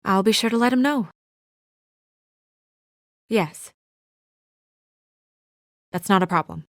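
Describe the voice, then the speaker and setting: An actress playing a brisk, guarded young female office assistant in a noir video game drama, speaking in a crisp, businesslike, dry manner. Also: mid-range voice, lively intonation, no echo. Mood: professional, polite.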